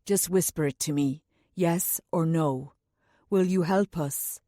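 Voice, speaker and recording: A woman's voice: no echo, clean studio sound. A woman voicing a grave, commanding man. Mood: gentle coaxing. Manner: deep, slow, authoritative yet gentle. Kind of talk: audiobook character reading.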